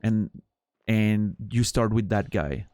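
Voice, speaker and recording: A man's voice: on the low side, slow, a little mellow, no echo. A man from Switzerland with a Swiss French accent, a native French speaker, chatting casually with his stream viewers in a rambling, profane way full of restarts.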